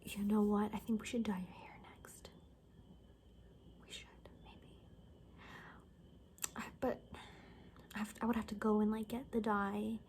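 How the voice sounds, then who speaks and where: Casting: a woman to play a chatty apocalypse confidante for ASMR roleplay. Mellow, soft, breathy voice sliding into whispers, loose rambling delivery, slight ambient noise. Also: mid-range voice, close to an everyday voice. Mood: casual, resigned. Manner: rambling, casual, gossipy, full of filler words. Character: chatty young woman in zombie apocalypse compound, gossipy friend.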